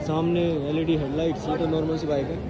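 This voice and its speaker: High-voiced young man, busy hall. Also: little pitch movement, fast, crowd noise. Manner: informal, chatty, bantering with friends. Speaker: vlogger. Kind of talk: casual walkaround commentary. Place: large crowded indoor expo hall. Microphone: handheld camera, speaker behind it. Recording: busy crowded hall background noise.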